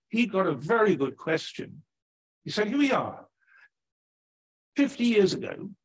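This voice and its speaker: An older English man from Sheffield giving a public lecture at a lectern, chatty and animated, often tagging sentences with "right?". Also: on the high side, husky, poor audio. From England, Sheffield. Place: lecture hall, standing at a lectern. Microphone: lectern microphone.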